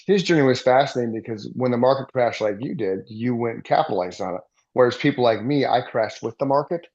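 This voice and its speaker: A man's voice: medium pitch, expressive, fast talker, darkish tone, muffled recording. A man in his fifties co-hosting a realtor podcast, upbeat and rambling with lots of fillers. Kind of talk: casual interview chat.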